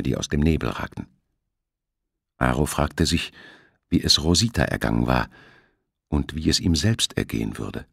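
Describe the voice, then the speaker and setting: A man narrating a thriller novel as an audiobook, with measured, dramatic storytelling. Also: a bass voice, gravelly, darkish tone, no echo, studio quality.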